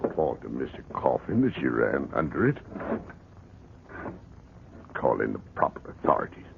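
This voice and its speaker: An actor playing an agitated, stubborn village gentleman in a 1940s radio horror play, with a fussy, stammering manner. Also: a bass voice, very animated, normal speed, creaky, heavily acted. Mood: brooding, muttering. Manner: fussy, stammering, muttering to himself. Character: agitated, stubborn older village gentleman, amateur Roman antiquarian. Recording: muffled, noisy 1940s radio recording.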